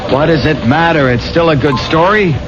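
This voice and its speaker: A man's voice: on the high side, moderate pace, natural voice. An actor playing an earnest, insistent reporter who pleads his case in a 1970s radio drama. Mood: earnest, persistent. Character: earnest, insistent male news reporter, easily awed. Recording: muffled, noisy old radio recording.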